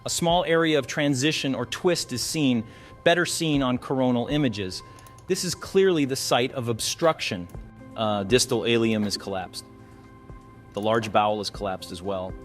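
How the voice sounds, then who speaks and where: A man's voice: mid-range voice, normal speed, soft background music. A man narrating an explanatory case walkthrough as a radiology instructor, posing questions to the viewer as he teaches. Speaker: radiology instructor presenting cases.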